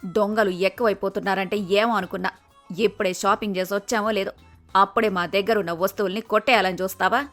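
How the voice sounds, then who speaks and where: A woman's voice: medium pitch, steady tone, fast talker, fairly bright tone, soft background music, close to an everyday voice. Feisty middle-aged mother-in-law, acted by a woman. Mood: indignant.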